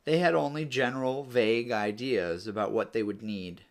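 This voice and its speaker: A man narrating a story in a measured read-aloud style, letting his phrases fall away at the ends. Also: mid-range voice. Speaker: author or reader narrating a book read-aloud.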